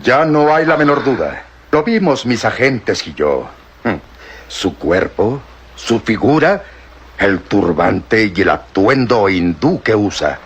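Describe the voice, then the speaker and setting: A man's voice: on the low side, animated, takes it slowly, slightly rough, exaggerated voice. An actor playing a stern male police inspector in an old radio drama, theatrically accusing. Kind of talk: 1960s radio drama acting.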